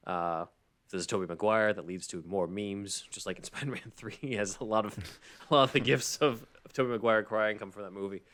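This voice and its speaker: A man's voice: fairly low pitch, a little fast, a bit bright. A man hosting a podcast, explaining and recapping in a casual way with frequent asides. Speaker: podcast host.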